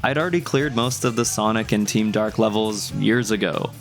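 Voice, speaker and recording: A man's voice: lowish voice, normal speed. A young adult man narrating a scripted video game review in a wry, conversational way. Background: music.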